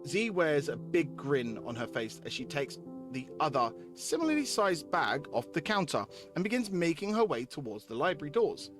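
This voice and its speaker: Higher-voiced man streaming over music. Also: sing-song, moderate pace. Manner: repeats phrases while addressing chatters. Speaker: live game streamer. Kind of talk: casual stream chat mixed with reading visual novel text aloud.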